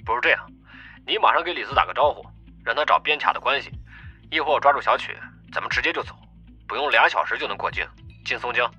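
Man acting a raspy, forceful young gangster. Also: medium pitch, lively intonation, fast, highs cut off, soft background music, full character voice. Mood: brisk, tough. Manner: threatening, forceful. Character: menacing, hot-tempered young gangster with a knife. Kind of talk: audiobook drama acting.

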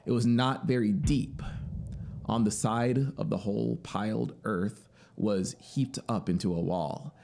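A man in his thirties narrating a literary story in an expressive, deliberate, dramatic way, close to a studio microphone. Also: on the low side, vocal fry. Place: small home music studio. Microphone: close studio microphone on boom arm. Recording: ambient soundscape noise behind voice. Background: synthesizer soundscape.

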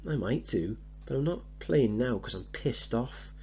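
Man acting a cheeky little boy, voice pitched up. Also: lively intonation, measured pace, darkish tone, a little hiss or hum. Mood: cheeky, boastful. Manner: slangy, blunt, eager. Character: scruffy seven-year-old working-class boy, cheeky, boastful, suspicious.

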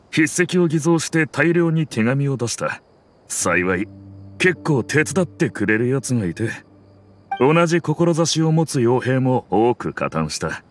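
Actor as a bitter mercenary veteran for a game dub, earnest and resolute, mid-pitched voice, faint music underneath. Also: male voice, close to an everyday voice.

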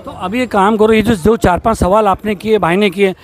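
A man's voice: high voice, fairly even intonation, fairly quick, crowd noise. A man in his thirties working as a local news reporter, questioning people on a busy city street at night with a handheld mic and pressing them with questions. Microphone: handheld mic held close.

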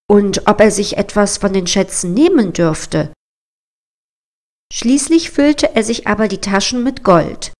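A woman storyteller narrating a scripted fairy tale in a measured, expressive storybook manner. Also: medium pitch.

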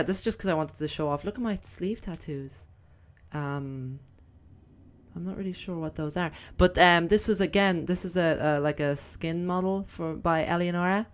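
Croaky-voiced woman rambling over gameplay. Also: fairly low pitch. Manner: chatty, rambling, many fillers. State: croaky, voice recovering. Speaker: gaming video host. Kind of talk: casual gameplay commentary.

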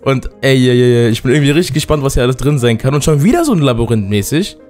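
A hoarse man with a cold, giving excited let's-play commentary and often breaking into loud outbursts. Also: mid-range voice, very fast, faint music behind. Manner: excitable, loud outbursts, keeps addressing viewers. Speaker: gaming YouTuber.

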